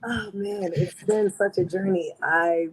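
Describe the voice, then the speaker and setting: A woman artist with a raspy voice, answering interview questions in a confident, emphatic way. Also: mid-range voice, fairly even intonation, unhurried, low-quality recording. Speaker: guest artist.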